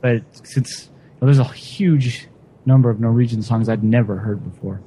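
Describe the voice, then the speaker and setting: A man with a dark, mellow voice talks in a relaxed, unhurried way. He hesitates now and then, and a faint rumble of background noise sits under his speech. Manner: relaxed, hesitant, sings a folk tune briefly. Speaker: guest sharing Christmas songs. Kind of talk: casual chat.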